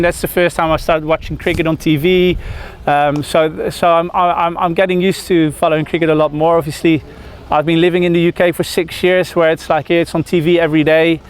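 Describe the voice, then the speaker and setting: A man's voice: a little high, clear, resonant tone. A man in his thirties from the Netherlands, a cricketer giving a press interview outdoors, hesitant with many fillers and restarts.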